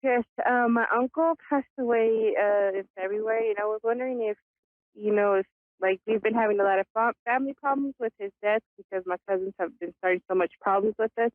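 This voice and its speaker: A woman from Texas calling in to a psychic show, speaking hesitantly with halting pauses. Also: medium pitch, dry recording. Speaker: caller. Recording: tinny phone line.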